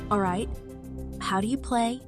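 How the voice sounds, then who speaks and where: A woman's voice: on the high side, a little slow. A woman performing a scripted advertising voice-over in a podcast. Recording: voice over loud music.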